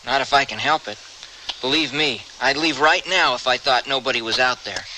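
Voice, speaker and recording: An actor playing a tough, wary younger stranger in a radio western, speaking in a terse, commanding way. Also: male voice, mid-range voice, a little fast, lightly acted. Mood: guarded. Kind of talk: old-time radio western acting. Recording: slightly noisy radio drama recording.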